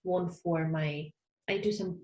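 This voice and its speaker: A woman whose first language is German, speaking English as an online counsellor in a casual interview, soft-spoken and a little hesitant with frequent small laughs, heard over a video call. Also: fairly low pitch, steady tone, slow. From Austria. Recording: roomy echo.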